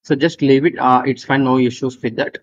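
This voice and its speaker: A man with an Indian accent presenting an unscripted software walkthrough, halting and repetitive as he gives step-by-step instructions. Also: medium pitch, steady tone. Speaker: tutorial presenter. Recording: dull lo-fi voice recording.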